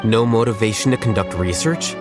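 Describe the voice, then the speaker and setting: A man voicing a composed, reserved male scholar who speaks calmly and bluntly. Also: fairly low pitch, brisk, lightly acted. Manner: blunt, calm. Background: game music.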